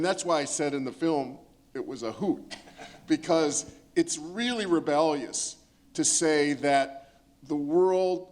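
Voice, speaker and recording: A man on a panel explaining his point with conviction, emphatic and repeating phrases for effect. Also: on the high side. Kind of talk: impassioned explanatory panel talk.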